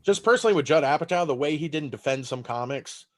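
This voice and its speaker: A man's voice: on the high side, animated, fast talker. A man in his thirties from Texas, whose first language is German, talking in a rambling, casual way as a comedian guest on a podcast, swearing freely.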